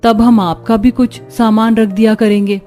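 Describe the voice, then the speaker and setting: Woman doing a stingy rich cartoon housewife, brushing people off, soft dark tone. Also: a little high, fairly even intonation, moderate pace, natural voice. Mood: boastful excuses. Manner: dismissive, making excuses. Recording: music behind the voice.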